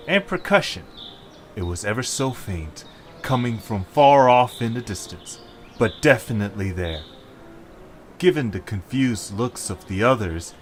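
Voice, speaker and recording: Male narrator for an audio story, scripted read, light background noise with night insects. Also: lowish voice.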